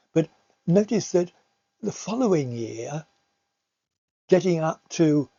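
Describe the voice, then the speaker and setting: A man presenting slides as a teacher, explaining things in a hesitant, halting way with repeated words. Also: medium pitch, mellow. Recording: slightly dull, lo-fi recording.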